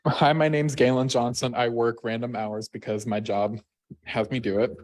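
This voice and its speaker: A young man in his twenties talks fast and chatty as he introduces himself, clearly doing something else at the same time. His pitch is middle and unremarkable, and a faint clicking can be heard behind him. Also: level tone, a little mellow. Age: 20s. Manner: hurried, chatty, talking while busy. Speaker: contestant. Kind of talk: casual self-introduction.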